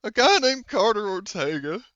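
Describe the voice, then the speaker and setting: Man putting on a whiny, high-pitched pleading crook. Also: big pitch swings, moderate pace, fairly bright tone, dry recording, lo-fi sound. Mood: defensive, desperate. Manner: whining, defensive, ungrammatical pleading. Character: whiny, pleading small-time male drug dealer under interrogation.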